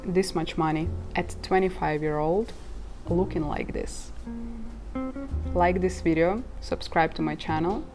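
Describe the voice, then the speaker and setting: A woman's voice: on the low side, fairly even intonation, measured pace. A woman in her twenties, from Ukraine and a native Russian speaker, talking candidly to camera about earning money online, laughing at herself now and then. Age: 20s. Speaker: vlogger. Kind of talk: casual talk to camera. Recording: guitar music playing behind.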